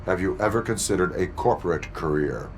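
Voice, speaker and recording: A cyborg police officer from a video game. The voice is extremely deep and creaky, delivered deadpan in clipped, formal phrases. Clear noise sits behind it. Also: male voice, fairly quick. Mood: stoic, dry. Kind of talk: video game cutscene acting.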